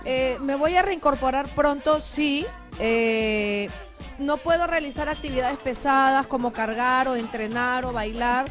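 A woman in her thirties, a TV host on a live outdoor link, telling her story in a lively, chatty way into a handheld mic. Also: sits high, a little slow, music in the background. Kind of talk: casual live TV chat. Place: outdoors on a leafy street.

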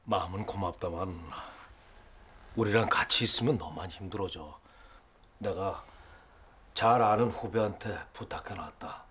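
A man's voice: low-pitched, unhurried, pauses often, a bit bright. An actor playing a kindly, burdened middle-aged father in a TV drama, speaking in a grave, regretful manner. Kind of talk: TV drama acting. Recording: faint background noise.

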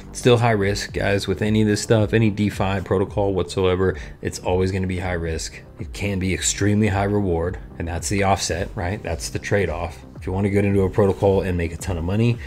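A man's voice: low voice, darkish tone. A man in his thirties chatting frankly through a screen-share review as a video host, with many casual fillers, recorded on a desk mic in a small lit room. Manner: chatty, frank, fillers like "you know" and "right?". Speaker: crypto investing YouTuber. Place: small room with purple and blue lights.

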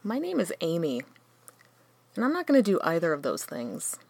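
A woman's voice: fairly low pitch, huge pitch swings, moderate pace, vocal fry, a little mellow, no room sound, exaggerated voice. A woman playing an earnest, easily exasperated holiday spirit in a comedic radio play, with theatrical delivery.

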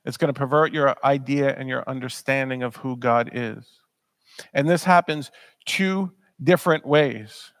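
A man's voice: medium pitch, a little slow, no echo, studio quality. A man in his thirties preaching a sermon in a church, earnest and emphatic, often repeating words as he presses a point. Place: church sanctuary, speaking at a pulpit.

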